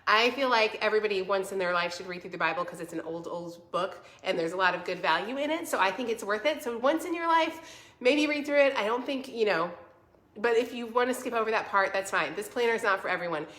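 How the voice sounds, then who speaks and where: A woman speaks at an average pitch, sounding congested. She chats informally as a livestream host, addressing viewers directly and offering encouragement. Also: fast talker, a bit bright. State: stuffy, congested voice from a cold. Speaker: vlog host selling a home planner. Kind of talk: casual livestream chat, answering viewer comments.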